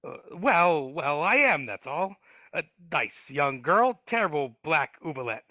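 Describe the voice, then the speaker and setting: A man's voice: a little high, big pitch swings, unhurried, pushed and bright, no room sound, exaggerated voice. A man voicing a sly, grumbling male dwarf in a live video-call table read, hesitant and wheedling, stammering often. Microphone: video call mic.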